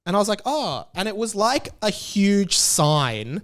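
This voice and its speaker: A man's voice: sits high, very animated, unhurried, a bit bright. A young Australian man hosting his own podcast, chatting casually with a chatty, self-deprecating manner. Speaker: podcast host. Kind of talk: casual solo podcast chat.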